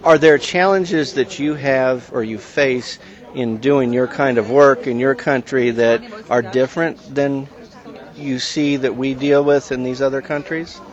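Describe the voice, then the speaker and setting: A man's voice: mid-range voice, fairly even intonation, unhurried, lo-fi sound. A man interviewing a guest outdoors, friendly and agreeing. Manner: friendly, agreeing, many "mm-hmm"s. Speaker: interviewer, agricultural journalist. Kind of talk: conversational interview.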